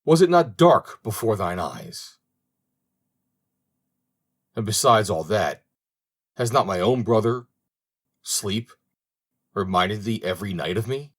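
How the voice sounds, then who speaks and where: A man voicing Death as a stern, solemn spirit in a storybook, speaking in formal, archaic, commanding phrases. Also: fairly low pitch.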